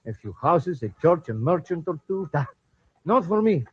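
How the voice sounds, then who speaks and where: A restless soldier in an old radio drama, dismissive and theatrical. His voice is high and swoops freely. The recording is dull and dry. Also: sing-song, normal speed, husky. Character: restless, unimpressed Spanish soldier, the hero's friend.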